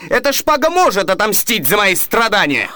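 A man's voice: sits high, sing-song, moderate pace, pushed and bright, no room sound, full character voice. An actor playing a bitter, jealous young man in a classic comedy radio play, with theatrical, declamatory delivery. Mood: bitter, furious. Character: jealous young male lover, bitter and wounded.

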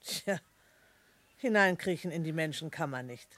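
A woman's voice: sits low, expressive, normal speed, fairly bright tone. An actress playing a sensible, guarded middle-aged mother in a radio play, speaking in a composed, formal and reserved manner. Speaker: actor. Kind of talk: German radio play acting.

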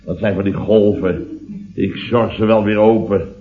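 An actor playing a weary, long-winded company boss who blusters and rambles in a radio comedy. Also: male voice, on the low side, steady tone, unhurried, lightly acted. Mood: weary, grumbling. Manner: blustering, rambling, tagging phrases with "hè". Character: sleepy, grumbling, long-winded married male company boss. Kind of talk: 1970s radio comedy acting. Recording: dull old radio recording, studio audience.

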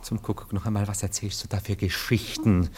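An actor playing a jealous, agitated gentleman lover in a radio play, with an insistent, exasperated, melodramatic manner. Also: lowish voice, little pitch movement, brisk, slight background noise, lightly acted. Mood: impatient. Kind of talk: radio play acting, theatrical.

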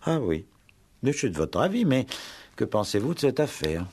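An actor playing a courteous, methodical police inspector in a French radio play, patient and drily amused. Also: male voice, mid-range voice, big pitch swings, a little mellow, slightly rough. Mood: amused, probing. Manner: polite, measured, hesitant pauses, drily amused.

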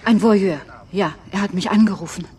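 An actress as a hesitant, distressed schoolteacher. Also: female voice, medium pitch, normal speed, mellow, a little hiss or hum, natural voice. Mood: defensive. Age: 40s. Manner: hesitant, anxious. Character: distressed, frightened forty-year-old schoolteacher, worn down. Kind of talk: radio crime drama acting.

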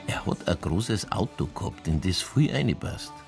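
An actor playing a casual, persistent police detective in a radio drama, asking matter-of-fact questions with a Bavarian accent. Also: male voice, low voice, sing-song, moderate pace, creaky, faint music behind, heavily acted. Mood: coaxing, persistent. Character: low-key male police detective, persistent and dryly casual. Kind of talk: naturalistic radio drama dialogue.

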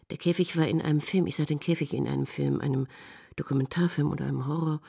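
An actress playing a halting woman who searches her memory and repeats herself in a radio drama monologue, with a breathy voice. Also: sits low, mellow, full character voice. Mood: uncertain, searching. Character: halting woman recalling experiments on children.

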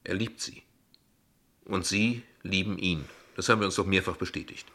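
A shrewd ironic dad in a radio play, husky and sharp, talks very pointed and polite. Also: male voice, low-pitched, steady tone, normal speed, heavily acted. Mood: coolly ironic. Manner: eloquent, pointed, condescendingly polite. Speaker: actor. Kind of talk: dialogue acting in a German radio drama.

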